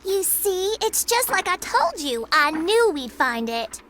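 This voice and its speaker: A woman's voice: very high-pitched, sing-song, unhurried, a bit bright, slight background noise, full character voice. An actress voicing a bratty, boastful little girl in a game cutscene, bossy and teasing. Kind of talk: video game cutscene dubbing.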